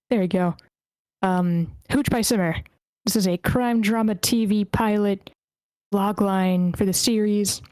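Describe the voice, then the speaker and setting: A woman's voice: mid-range voice, a little mellow, dry recording, low-quality recording. A woman hosting an online script table read, chatting casually in an informal, playful way. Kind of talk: casual chat, moderating an online meeting. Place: online voice meeting, dry close sound.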